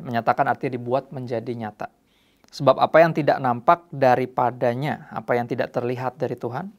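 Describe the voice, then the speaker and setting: A man, presenting a casual religious show for young people as its host. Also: medium pitch.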